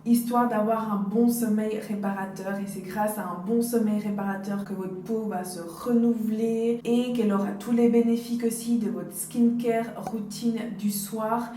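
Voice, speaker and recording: A woman's voice: mid-range voice, steady tone, moderate pace, hardly any pauses, dark tone. A woman in her late twenties chatting casually to camera as a beauty vlogger, giving personal advice and addressing her viewers directly. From Dominican Republic. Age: late 20s. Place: indoors, hotel. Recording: roomy, distant mic, faint background noise.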